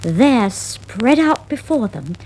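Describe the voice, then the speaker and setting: A woman storyteller reading a children's fable from a 1960s record. She is unhurried, with lively rise and fall and dramatic phrasing, over faint vinyl noise. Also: a little high, low-quality recording. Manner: dramatic, expressive, deliberate phrasing. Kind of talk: scripted children's fable narration.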